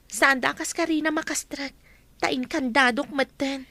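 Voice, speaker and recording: A woman's voice: sits high, fairly even intonation, unhurried, pushed and bright, no room sound, exaggerated voice. An actress playing a wronged kidnap victim in a radio drama, firm and aggrieved as she seeks justice. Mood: aggrieved, resolute. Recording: faint noisy ambience behind.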